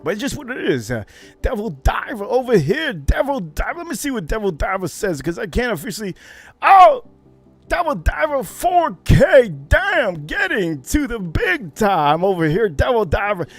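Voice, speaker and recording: A man's voice: high-pitched, sing-song, normal speed. A man hosting a casual livestream, rambling with lots of fillers and greeting viewers by name. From United States. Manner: rambling, many fillers, reads out viewer names. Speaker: livestream host.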